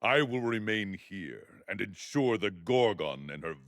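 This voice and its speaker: An actor playing a proud, honour-bound minotaur-like warrior in a video game, with solemn, formal, archaic speech. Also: male voice, on the low side, expressive, measured pace, dry recording, clean studio sound. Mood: solemn, remorseful. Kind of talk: dramatic video game acting.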